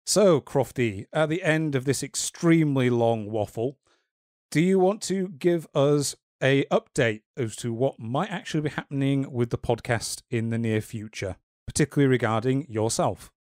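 A man hosting a casual podcast, talking in a measured way with wry, dry humor. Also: mid-range voice, darkish tone, no echo. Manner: measured, wry and dryly joking. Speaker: podcast host.

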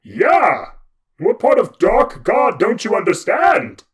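A man voicing a huge, petulant, tick-like male Dark God who acts like an internet troll, loud, whiny and theatrical. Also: sits high, wildly swooping pitch, slow pace, pitched above the performer's own voice, heavily acted. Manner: loud, whiny, theatrical, touchy about his hair. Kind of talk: storytelling with voiced characters.

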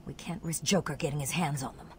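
An actress playing a determined, indignant doctor in a video game, speaking articulately and insistently. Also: female voice, low-pitched, fast talker, a little mellow, natural voice. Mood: anxious, insistent. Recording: reverberant hall, clear background noise.

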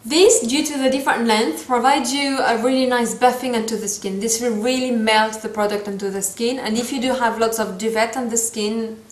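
Brief: French-accented woman, 30s, playful chatty vlogger, slightly high voice, roomy echo. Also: steady tone, moderate pace, few pauses. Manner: chatty, playful asides, searching for English words. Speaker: makeup vlogger. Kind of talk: casual tutorial to camera. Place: small room indoors, seated.

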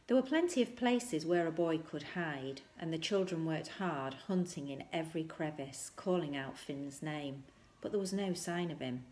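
A woman's voice: sits low, normal speed, a little mellow, a little hiss or hum. A middle-aged woman reading a children's story aloud. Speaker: volunteer reader. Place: small indoor room at home.

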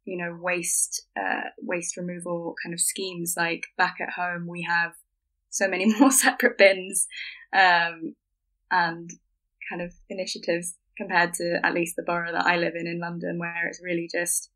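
Low-pitched, even-toned woman in conversation. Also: normal speed, low-quality recording. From Germany. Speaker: podcast guest, filmmaker and actor. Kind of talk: conversational podcast interview.

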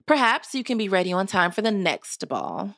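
A woman's voice: mid-range voice, very animated, moderate pace, a bit bright, dry recording, studio quality, pitched above the performer's own voice. A woman voicing a haughty, harsh stepmother in a storybook, condescending and affectedly polite. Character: haughty, harsh stepmother of middle years, falsely sweet.

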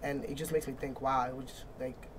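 A man's voice: medium pitch, flat delivery, fairly quick, a little hiss or hum. A young man hosting a studio talk show, talking enthusiastically and often repeating himself. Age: young adult. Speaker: host.

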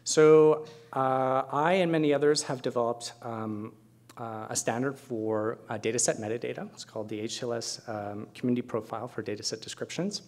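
A man's voice: medium pitch, steady tone, moderate pace, a little mellow. A middle-aged man speaking as a keynote presenter at an academic conference, explaining things with conversational asides. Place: lecture hall at a podium, indoors.

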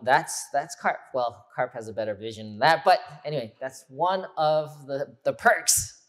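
Male pastor, 30s, casual teaching sermon, lively gestures, church hall, lapel mic. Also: fairly high pitch. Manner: animated, gesturing, conversational teaching.

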